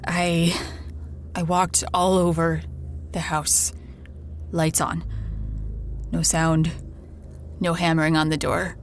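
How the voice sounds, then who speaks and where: An actress playing a haunted woman in an audio drama, confiding a ghost story in an anxious, halting, repetitive way. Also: low-pitched, level tone, a little hiss or hum. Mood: hushed, eerie.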